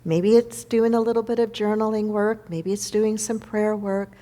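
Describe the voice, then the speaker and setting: A woman minister delivering a reflective spiritual sermon, slowing down to stress key words. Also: mid-range voice, steady tone, dark tone. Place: church sanctuary.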